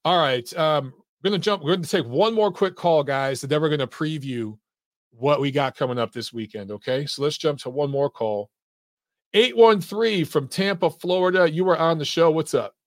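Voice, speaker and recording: Animated male boxing show host. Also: fairly high pitch, normal speed, dry recording. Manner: animated, swearing, laughs along with callers. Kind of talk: casual live call-in chat.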